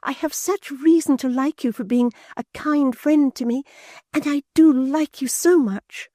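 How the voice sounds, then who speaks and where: A man voicing a gentle, kind young woman who pleads softly, in a storybook reading. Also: very high voice, steady tone, measured pace, dry recording, studio quality, voice pushed up. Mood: pleading. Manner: soft, pleading.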